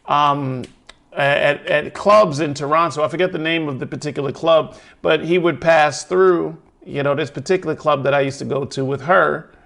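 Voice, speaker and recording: Upbeat New York man, bright echoey voice. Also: a little high, moderate pace, creaky. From New York City. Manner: enthusiastic, slangy and effusive. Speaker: podcast host. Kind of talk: casual interview chat.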